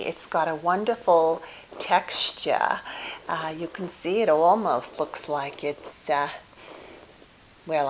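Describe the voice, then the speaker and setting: A woman doing an ASMR role play as a polite, patient psychotherapist, speaking haltingly and gently. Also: lowish voice. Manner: hesitant, halting, gently polite, with murmured acknowledgements. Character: polite, mildly flustered female psychotherapist, patient and attentive. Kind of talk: ASMR role play, improvised one-sided conversation. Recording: clear background hiss.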